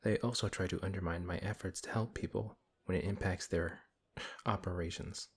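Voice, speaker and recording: Man playing a deep, husky, exasperated paladin. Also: fairly even intonation, normal speed. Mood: concerned, probing. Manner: flustered, questioning, sighing. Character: big strong, good-hearted, exasperated male paladin. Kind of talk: audio roleplay, fantasy acting.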